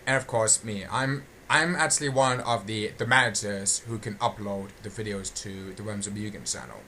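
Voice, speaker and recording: A man giving a casual, unscripted channel update, halting and often repeating and restating his phrases. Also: on the low side, expressive, sharp tone, slight background noise. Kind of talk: casual unscripted audio log.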